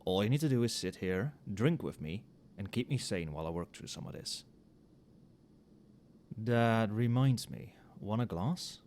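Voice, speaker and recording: A man playing an overworked magic professor in a casual, soft-spoken ASMR roleplay, muttering with dry sarcasm. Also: fairly low pitch, expressive, a little slow, a little hiss or hum. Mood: friendly, confiding. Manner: casual, muttering, dryly sardonic. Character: wry, overworked male magic professor grading papers late at night.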